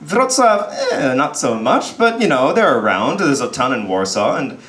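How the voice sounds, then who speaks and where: Young male comic from Texas, casual self-mocking patter, mid-pitched voice, echoey pub stage. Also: very animated, normal speed, a bit bright. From United States (Texas). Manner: chatty, self-deprecating, often breaks into laughter. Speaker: stand-up comedian. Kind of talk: stand-up comedy set. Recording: roomy pub sound with faint crowd murmur.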